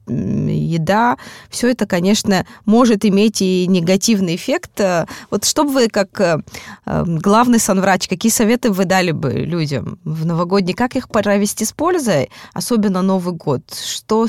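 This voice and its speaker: Low-voiced woman radio host, dry sound. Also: darkish tone, dry recording. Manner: hesitant, many fillers. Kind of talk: interviewing a guest.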